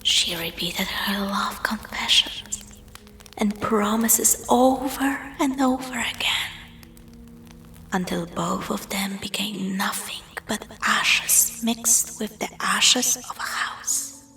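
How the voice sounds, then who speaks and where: A woman narrating a scripted spooky story in a hushed, breathy, dramatic storytelling manner. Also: mid-range voice, takes it slowly, in a whisper. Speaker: storyteller, voice performer. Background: music.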